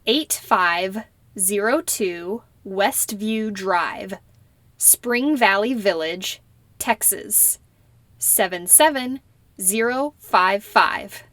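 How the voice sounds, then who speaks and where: A young woman playing a polite citizenship applicant who answers slowly and carefully in a scripted practice interview. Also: mid-range voice, fairly bright tone, dry recording. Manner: slow, careful, deliberate answers. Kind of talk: scripted role-play interview.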